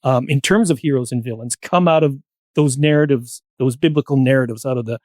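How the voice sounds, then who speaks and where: A man's voice: medium pitch, fairly quick, darkish tone, dry recording, studio quality. A man speaking as a panel guest, explaining ideas in a discursive, professorial way with examples. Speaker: podcast guest.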